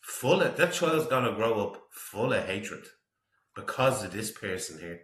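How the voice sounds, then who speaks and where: A middle-aged man with a low, forceful voice comments casually as a reaction host. He sounds disapproving and opinionated, and the recording is roomy and echoey. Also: sing-song, unhurried.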